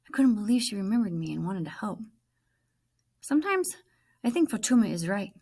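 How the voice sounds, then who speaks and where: A woman's voice: mid-range voice, sing-song, brisk, mellow, breathy edge. A woman teacher reading a children's book aloud as first-person narration, expressively stressing key words.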